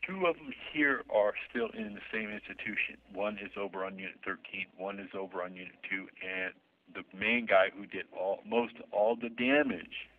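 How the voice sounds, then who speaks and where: A man in his sixties with a deep, low voice and a speech impediment. He tells his story haltingly and keeps repeating words. The audio is thin and tinny, like a phone line. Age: 60s. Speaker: interviewee. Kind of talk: personal account.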